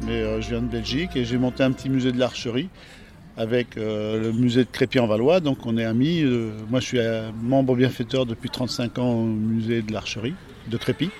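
A man's voice: mid-range voice, fairly even intonation, fairly quick, darkish tone, faint music behind. A French-born man and archery club captain, answering interview questions in a hesitant, plain-spoken way, full of fillers. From France.

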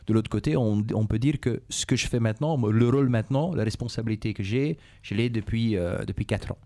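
Belgian man with a Dutch-speaking accent, mellow voice. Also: lowish voice. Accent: Flemish Dutch-speaking Belgian. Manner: measured, repeats himself, frequent "euh" and "et cetera". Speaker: business executive interviewed by schoolchildren. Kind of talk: calm explanatory interview answers.

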